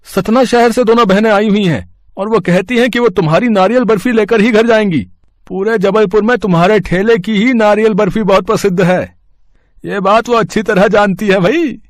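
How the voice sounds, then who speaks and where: A man's voice: sits high, normal speed, no echo, pitched above the performer's own voice, natural voice. A man voicing a friendly, slightly hesitant male customer in a cartoon story.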